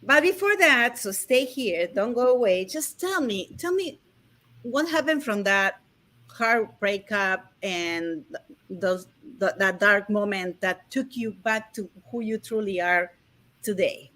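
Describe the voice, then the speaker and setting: A woman's voice: mid-range voice, expressive, slow pace, a bit bright. A woman hosting an online interview show, introducing her guest in an effusive, gushing way. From USA. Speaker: host.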